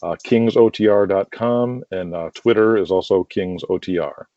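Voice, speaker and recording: A man's voice: low voice, moderate pace, dark tone. A man chatting casually as a podcast guest, agreeable and eager to promote his show. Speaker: podcast guest, audio drama creator. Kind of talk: casual interview chat. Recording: dull lo-fi roomy call audio.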